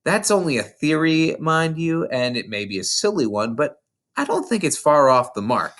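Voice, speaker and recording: An adult reader voicing a kind, thoughtful woman schoolteacher in a storybook, gently explaining. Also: mid-range voice, big pitch swings, normal speed, pitched above the performer's own voice. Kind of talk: character dialogue in storybook reading.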